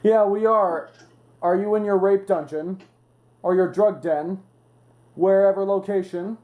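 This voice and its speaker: A young man hosting a casual hobby show-and-tell, with an excitable manner and a crude, joking streak. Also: high-pitched, a little slow. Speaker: video host.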